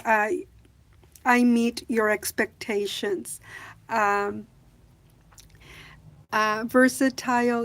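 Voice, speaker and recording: A woman with a calm, gentle voice chats on a livestream, her pitch sitting a little high. She talks very slowly, stopping often to restart a thought or fill the gap with "uh", and reads viewer questions aloud before answering them. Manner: slow, soft-spoken, many hesitations. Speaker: livestream host. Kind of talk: casual live chat reading comments.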